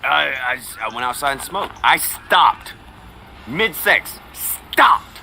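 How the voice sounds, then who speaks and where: A male stream host with a lively, swooping delivery and a bright, forceful tone. He teases his co-host, reads out viewer comments and drifts into crude, profane anecdotes in loose casual chat. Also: mid-range voice, slow, gravelly, a little hiss or hum. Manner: crude, teasing, profane storytelling.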